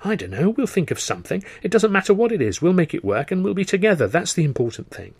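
A man's voice: high voice, sing-song, fast, a little mellow, slightly rough, dry recording, pitched above the performer's own voice, full character voice. A man voicing an earnest, lovestruck young man in an audiobook, fervent and pleading. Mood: urgent, pleading. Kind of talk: audiobook character voice.

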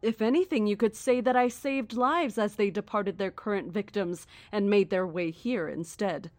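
A woman playing a serene, menacing cult leader in an audio drama, formal, measured and condescending. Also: mid-range voice, no room sound, lightly acted. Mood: mocking, calm.

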